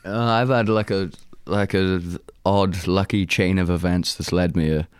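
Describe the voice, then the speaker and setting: A young man in his twenties with a Welsh accent, a musician talking in a casual interview, soft-spoken and halting, with frequent laughs. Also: low-pitched, steady tone, clear, resonant tone, no room sound. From Wales. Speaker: musician guest.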